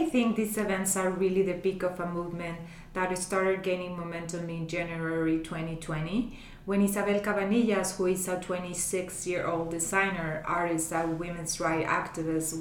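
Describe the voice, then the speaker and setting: Earnest Mexican woman. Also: on the low side. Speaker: podcast co-host, attorney. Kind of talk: podcast discussion. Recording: echoey room with faint noise.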